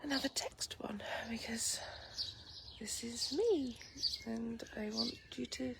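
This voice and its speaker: A slow-talking woman with an English accent and a very gravelly, croaky morning voice. She chats sleepily and easily to viewers on her phone. Loud birdsong fills the outdoor air around her. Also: fairly high pitch, very noisy. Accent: English, Lincolnshire. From England. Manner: relaxed, rambling, warm-hearted chatter with many ums. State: just woken, sleepy. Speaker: vlogger greeting live viewers. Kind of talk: casual chatty morning livestream. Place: outdoors in a garden. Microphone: phone camera. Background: birdsong and light breeze.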